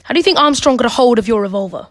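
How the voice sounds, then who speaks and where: A woman's voice: on the high side, lively intonation, very fast, lightly acted. A young woman playing a blunt, matter-of-fact male detective in an amateur radio murder mystery. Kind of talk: amateur radio play acting.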